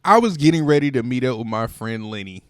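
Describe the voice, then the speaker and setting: A man reading a children's picture book aloud in an expressive, playful way. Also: medium pitch, no room sound, studio quality. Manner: expressive, playful, drawn-out delivery. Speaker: storytime reader.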